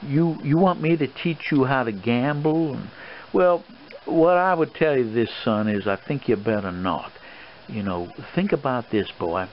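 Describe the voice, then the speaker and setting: Old gentleman colonel played by a man, swashbuckling gambler for ASMR role-play: boastful, paternal, hesitant folksy rambling, dull lo-fi audio with plain background noise. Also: medium pitch, expressive, measured pace, lightly acted. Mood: fatherly, cautioning. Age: older.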